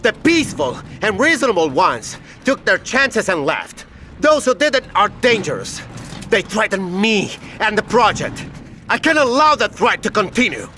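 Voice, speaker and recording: An actor playing an unhinged, paranoid scientist in an audio drama, formal, self-righteous and menacing. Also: male voice, sits high, sing-song, moderate pace, pushed and bright, slight background noise, heavily acted. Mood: cold, menacing. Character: unhinged, paranoid male scientist doctor holding others at gunpoint.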